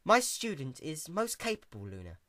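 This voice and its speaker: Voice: medium pitch, huge pitch swings, normal speed, fairly bright tone, no room sound, studio quality, voice pushed up. A performer voicing a serene, composed female pony princess with a genteel, understated manner in a storybook reading. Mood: calm, airy.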